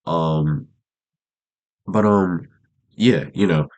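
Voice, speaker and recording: A young man with an extremely deep voice and a stuffed-up, congested nose talks casually to his camera. His speech is loose and rambling, packed with slang and fillers, in an unscripted vlog monologue. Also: drawn-out words, darkish tone. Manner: laid-back, rambling slang talk with many fillers. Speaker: vlogger. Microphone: camera mic.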